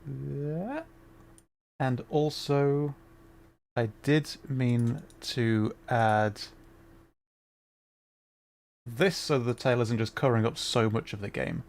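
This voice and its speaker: A man's voice: medium pitch, fairly even intonation, takes it slowly, gravelly, long pauses. A man hosting a casual gaming livestream, chatting in a flustered, apologetic and self-deprecating way. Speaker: livestream host playing a video game. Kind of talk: casual livestream chat while troubleshooting.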